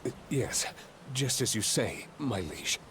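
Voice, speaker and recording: An actor playing a deferential, uneasy military advisor to royalty in a video game, speaking formally. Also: male voice, lowish voice, big pitch swings, slow pace, noisy background. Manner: formal, hesitant before his liege. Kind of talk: video game cutscene acting.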